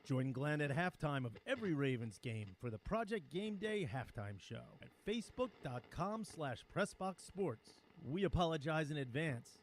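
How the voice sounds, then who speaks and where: A man's voice: a little high, expressive, normal speed, few pauses, a little hiss or hum. A man reading scripted radio ads and promos in an upbeat, salesy way. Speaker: commercial and promo announcer. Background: music bed.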